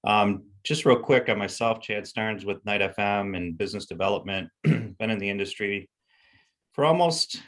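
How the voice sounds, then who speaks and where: A middle-aged man hosts a business panel over a video call. His voice sits fairly low. He sounds upbeat and chatty and fills gaps with ums and you-knows. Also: moderate pace, fairly bright tone. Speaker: panel host. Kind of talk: video call webinar hosting.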